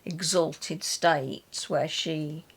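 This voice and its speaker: A British woman hosts an informal chat about poetry. Her voice sits a little low and she takes her time over her words. She is wry and opinionated as she talks. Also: fairly even intonation, drawn-out words, gravelly, breathy edge. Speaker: host of a poetry reading and book chat. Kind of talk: casual literary chat.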